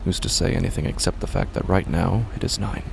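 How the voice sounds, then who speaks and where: An actor playing a weary, confused man who clings to rigid rules, narrating a horror monologue in a halting, repetitive, gravelly voice. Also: deep voice, steady tone, slight background noise. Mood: uncertain, brooding. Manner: hesitant, halting, brooding, repeats himself. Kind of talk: first-person horror audio drama monologue.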